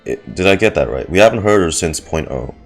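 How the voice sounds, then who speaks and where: A man giving casual live commentary on a game as a streamer, halting and wry. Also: deep voice, lively intonation, creaky, faint music behind. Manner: halting, wry, self-joking.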